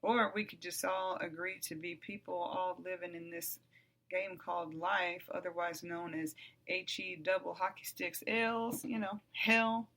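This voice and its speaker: A middle-aged woman hosting her own podcast, talking bluntly and with profanity in a calm, even voice that flares up loud at times. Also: lowish voice, a little slow, rough recording. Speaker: podcast host. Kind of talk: candid monologue.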